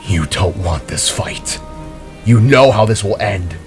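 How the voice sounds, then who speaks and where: A man's voice: fairly low pitch, lively intonation, takes it slowly, soft background music, voice pushed down. Man acting fierce, defiant heroic father. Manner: gritted, commanding, confrontational. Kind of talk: audio story character acting.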